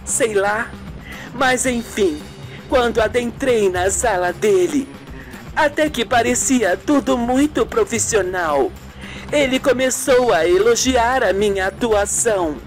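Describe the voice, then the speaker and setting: A woman voicing a young, confident, indignant and proud actress in a radio soap. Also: fairly high pitch.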